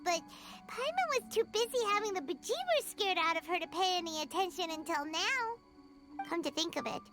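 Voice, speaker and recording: A woman voicing a tiny, childlike, chirpy floating fairy companion in a video game, squeaky and excitable. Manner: excitable, refers to herself in third person. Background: loud game music.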